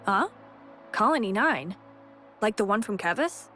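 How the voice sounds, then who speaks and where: A sulky, blunt young woman in a game cutscene. The voice is mid-pitched, with faint music underneath. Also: big pitch swings, measured pace, sharp tone. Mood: puzzled. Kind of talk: video game cutscene acting. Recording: music behind the voice.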